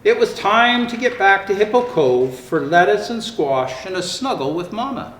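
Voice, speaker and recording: Male children's storyteller, high-pitched and theatrical, stretching words for emphasis. Roomy, echoing sound. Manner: expressive, theatrical, with drawn-out emphasis. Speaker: storyteller dressed as Santa Claus. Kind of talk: animated children's picture-book reading. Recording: roomy echo, faint background noise.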